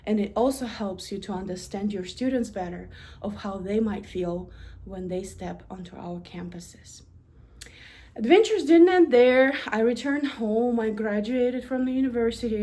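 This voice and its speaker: A woman with a Russian accent gives a prepared talk about her own journey. Her voice sits a little high for a woman, and she speaks earnestly. When she lists things, she stresses each item in a steady rhythm. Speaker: university administrator. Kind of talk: prepared personal story talk.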